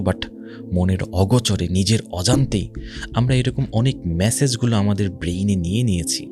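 A man with a Bangladeshi accent giving a religious social commentary talk, speaking emphatically with rhetorical questions and some English words mixed in. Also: fairly low pitch. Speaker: Islamic speaker. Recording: music behind the voice.

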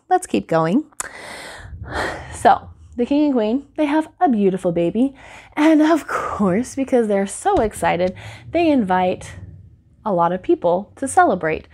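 A woman's voice: on the high side, darkish tone. A woman in her thirties chatting casually to camera as a host, telling a story with wry, sarcastic, giggly asides. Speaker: host of a book channel. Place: small indoor room at home, by a bookshelf. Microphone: lapel mic.

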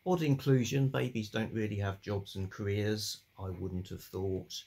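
Brief: older book vlogger, calm and meandering, low voice, husky, at his desk on a webcam. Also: unhurried. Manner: calm, rambling with many fillers. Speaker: book channel host. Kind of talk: casual chat about old books. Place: home study lined with bookshelves.

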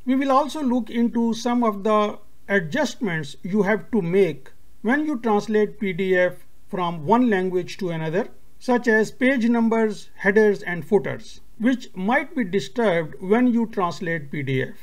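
A man whose first language is Urdu, hosting a screen-recorded tutorial and explaining each step in a steady, methodical way. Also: high-pitched, unhurried. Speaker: tutorial host.

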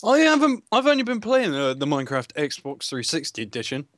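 A man's voice: on the high side, very animated, fairly quick, a bit bright, no echo. Young man chatting over a game, dry sound. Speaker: gaming video co-host. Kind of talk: casual gameplay chat.

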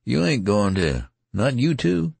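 Guy doing a scared young soldier, gravelly deep voice pushed up, dry. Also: big pitch swings, moderate pace, mellow, dry recording, full character voice. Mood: alarmed. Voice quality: creaky, husky. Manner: alarmed, fretful outbursts. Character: young male soldier, grieving and anxious. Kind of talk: audiobook character reading.

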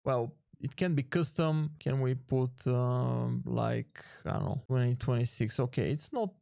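A man with a mid-pitched voice walks listeners through a casual screen tutorial. He thinks aloud a little hesitantly as he goes, recorded close and dry with no room sound. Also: steady tone, a little slow, vocal fry, mellow, dry recording. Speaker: tutorial host.

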